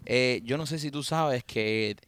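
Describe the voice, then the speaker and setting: A man's voice: medium pitch, measured pace, fairly bright tone, clean tone, no room sound, studio quality. A man co-hosting a casual chat, teasing and telling stories with animation. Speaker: co-host.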